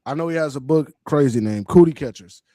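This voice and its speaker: A man co-hosting a podcast, telling stories in an animated, slangy way. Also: fairly high pitch, a little fast. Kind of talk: casual podcast chat.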